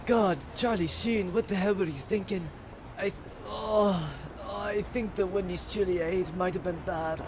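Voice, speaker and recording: Man as a high-voiced, nauseous whining outlaw. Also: fairly even intonation, normal speed, hardly any pauses, noisy background. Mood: sick, complaining. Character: woozy, nauseous male outlaw sidekick, whiny.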